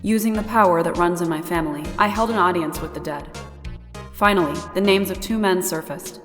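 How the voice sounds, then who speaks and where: A solemn woman's voice from a game drama, acted by a woman, reciting with quiet resolve as a spirit medium. It sits fairly low, trailing long reverb over faint music. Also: fairly quick, close to an everyday voice. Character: solemn, resolute female spirit medium reading her testament.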